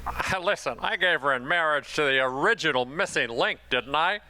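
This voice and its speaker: Man playing a bright-voiced, wry father. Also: on the high side, animated, moderate pace, low-quality recording. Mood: wry, teasing. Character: wry, protective middle-aged father of grown daughter. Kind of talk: comic radio play acting.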